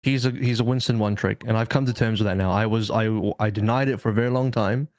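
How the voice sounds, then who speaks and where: A man's voice: fairly low pitch, fairly even intonation, fast, a little mellow, no room sound. A man giving casual esports analysis as a podcast panelist, insistent and repeating his phrases to press the point.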